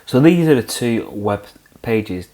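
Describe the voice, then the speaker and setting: A young man hosting a screen-recorded software tutorial, calmly walking through each click step by step. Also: mid-range voice, slow. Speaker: tutorial host.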